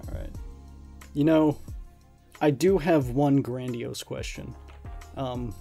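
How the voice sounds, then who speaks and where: A man's voice: mid-range voice, slow, creaky, dark tone. Playfully grandiose male podcast host. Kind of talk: casual banter with mock-formal questions. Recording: music playing behind the voice. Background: background music.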